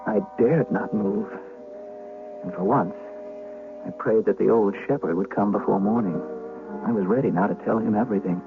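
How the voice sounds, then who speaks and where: An actor playing a haunted shepherd who recounts his tale gravely and dramatically, as 1970s radio drama narration. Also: fairly low pitch, over music, natural voice. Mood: tormented. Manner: grave, dramatic, deliberate storytelling. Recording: narrowband vintage radio recording.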